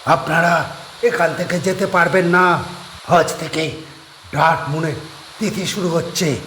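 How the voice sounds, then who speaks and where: A man's voice: fairly high pitch, steady tone, measured pace, pushed and bright, roomy sound, a little hiss or hum. A man voicing a desperate middle-aged guest house keeper who guards his vampire wife, in a strained, pleading voice.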